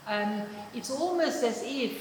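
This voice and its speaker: A woman's voice: a little high, expressive, slow pace. A woman with a German accent, an artist talking thoughtfully about her work, often restarting her sentences. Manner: thoughtful, hesitant, many restarts. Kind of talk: public conversation about her artwork. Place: exhibition project space. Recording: echoey room with long reverb, noise.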